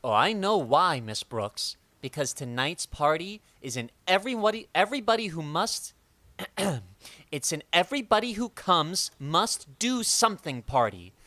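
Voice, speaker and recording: Casting: male actor for an eager high-school lad in vintage radio comedy; boyish, very lively delivery. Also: measured pace, dry recording, full character voice. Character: eager, friendly teenage schoolboy. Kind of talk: old-time radio comedy acting.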